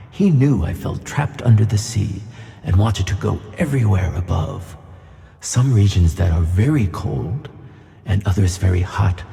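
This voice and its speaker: A man's voice: fairly low pitch, creaky, mellow, full character voice. A man playing a kindly, reassuring magical tuna fish who flies, in a children's audio play. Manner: reassuring, tender. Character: gentle flying tuna fish, male, kindly magical friend. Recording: long reverb on the voice.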